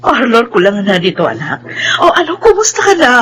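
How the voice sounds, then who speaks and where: An actress playing an emotional, caring middle-aged mother in a Filipino radio drama, expressive and plaintive, with a Filipino accent. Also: fairly high pitch, brisk, a little hiss or hum. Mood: worried, tearful.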